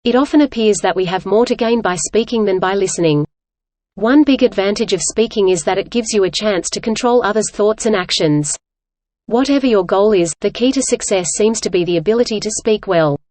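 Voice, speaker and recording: A woman's voice: medium pitch, a little fast, a little mellow, dry recording. Woman reading aloud, dry recording. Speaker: reader of language test practice passages. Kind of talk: scripted read-aloud narration.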